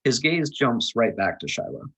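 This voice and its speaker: A man reads out screenplay action lines as the narrator of a table read. His voice is middling in pitch with a husky grain. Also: sing-song, normal speed, bright, forceful tone, rough recording. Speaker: narrator reading stage directions.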